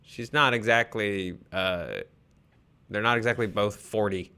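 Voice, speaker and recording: A man's voice: fairly low pitch, fairly even intonation, measured pace, pushed and bright, clean tone. A man in his thirties with an American accent, co-hosting a casual commentary on a close studio microphone. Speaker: commentary host and writer. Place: small podcast studio. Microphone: desk microphone, close.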